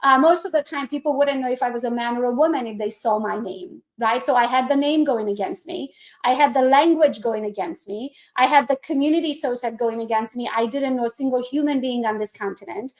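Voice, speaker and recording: A woman from Romania speaks English with a Hungarian accent, her voice sitting a little high. She is chatty and energetic, laughing easily. It sounds like a computer mic on a video call. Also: brisk, low-quality recording. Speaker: guest speaker. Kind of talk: live online presentation.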